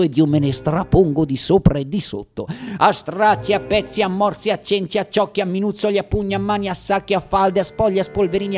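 High-pitched bombastic mock-tragic stage poet. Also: male voice, a little mellow. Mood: anguished, frantic. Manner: grandiose, over-emphatic, drawn-out delivery with piled-up lists. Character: bombastic mock-tragic variety-theatre poet, absurdly declaiming nonsense verse. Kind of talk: theatrical comic declamation for radio theatre.

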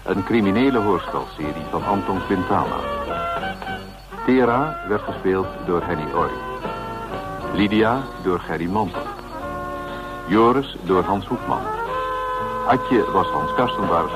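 A man's voice: low voice, lively intonation, unhurried, pauses often, rough recording. A man reading scripted closing credits as a radio drama announcer. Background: loud music.

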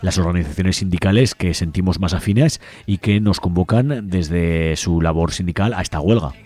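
A man's voice: low voice, moderate pace, over loud music. A man with an accent from Spain, reading a scripted announcement as host of a community radio programme in a studio. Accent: Spanish from Spain.

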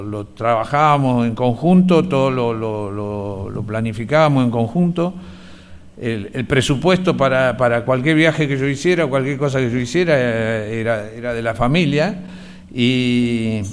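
A man's voice: mid-range voice, clear, resonant tone. Middle-aged Argentine man, conversational testimony, clean resonant voice, reflective delivery that stops and restarts. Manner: halting, reflective, repeating words. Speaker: interviewee, relative of a disappeared person. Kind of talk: personal testimony, conversational recollection.

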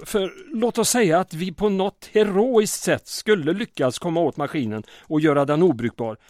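An actor playing a small-town mayor under occupation in an audio drama, earnest but wry and weary. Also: male voice, high-pitched, no room sound, studio quality.

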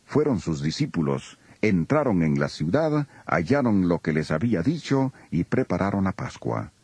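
A man's voice: low voice, sing-song, moderate pace, a little mellow, husky, no echo. A man narrating a dramatized scripture recording as a solemn storyteller.

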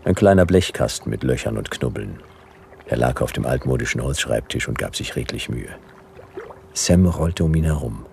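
A man's voice: extremely low pitch, expressive, normal speed, creaky, darkish tone, a little hiss or hum, full character voice. A man playing a laconic hard-boiled detective, narrating a noir radio drama in terse, dry sentences. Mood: dry, suspenseful.

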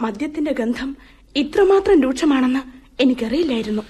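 High, husky sarcastic young bride. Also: female voice, animated, brisk, warm tone. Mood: cutting. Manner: cool, cutting, sardonic. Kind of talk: radio drama acting.

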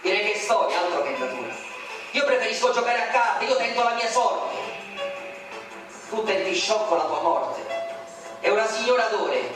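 A man with a high voice declaims poetry on stage with bitter indignation. He stands far from the mic in a cavernous, heavily echoing space, with music running underneath. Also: expressive, moderate pace, long pauses. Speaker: poetry reader. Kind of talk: theatrical poetry recitation. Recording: very echoey stage recording from far off, music behind.

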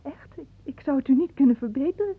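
An actress playing a polite young woman who gently humours her aunt in a Dutch radio play. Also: high-pitched, animated, fast. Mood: gentle, reassuring. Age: young adult. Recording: muffled phone-like old radio recording.

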